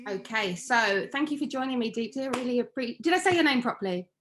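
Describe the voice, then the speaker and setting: A woman hosts an interview at a quick pace. Her voice sits a little high, and she sounds friendly and eager as she opens the chat. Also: animated, fairly bright tone. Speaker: interview host.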